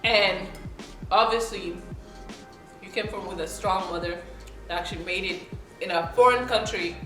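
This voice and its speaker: A woman's voice: medium pitch, normal speed, fairly bright tone. A young woman from South Sudan chatting casually to camera as a vlogger while she eats, often checking in with her viewers. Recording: roomy room with faint background music.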